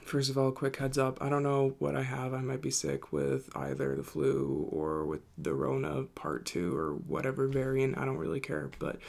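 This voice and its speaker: A man's voice: mid-range voice, expressive, normal speed, gravelly, hardly any pauses, a little mellow. A young man hosting his own channel, talking casually while congested and tired from illness, rambling and thinking aloud as he goes. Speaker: host. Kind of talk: casual solo talk to camera.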